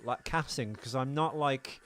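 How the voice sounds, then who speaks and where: A man's voice: medium pitch, unhurried, a bit bright. A man co-hosting a casual film podcast, talking in a rambling, self-deprecating way. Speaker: podcast co-host. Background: music playing behind the talk.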